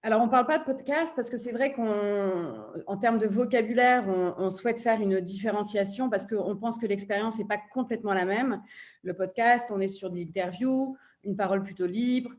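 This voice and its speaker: A woman speaking as a panel guest, explaining her view in a hesitant way with frequent pauses. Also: mid-range voice, a little mellow. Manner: hesitant, piecing thoughts together with frequent pauses. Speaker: panel guest from an audio platform.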